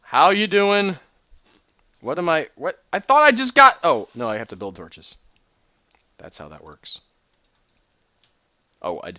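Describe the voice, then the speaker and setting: Excitable man, very animated delivery. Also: high voice, no room sound. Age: 30s. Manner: excitable, rambling. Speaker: Minecraft builder and streamer. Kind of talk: casual commentary while gaming.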